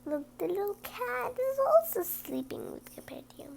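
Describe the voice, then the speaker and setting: A woman reading a children's story aloud with animated, emphatic stresses and brief asides. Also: extremely high pitch, measured pace, vocal fry, slight background noise. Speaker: storybook reader.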